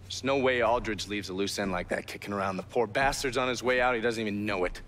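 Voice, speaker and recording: An actor playing a gruff, foul-mouthed intelligence operative in a video game, terse and cynical as he briefs a partner. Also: fairly low pitch, fast talker, fairly bright tone, slight background noise, lightly acted. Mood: grave, matter-of-fact. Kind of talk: video game cutscene acting.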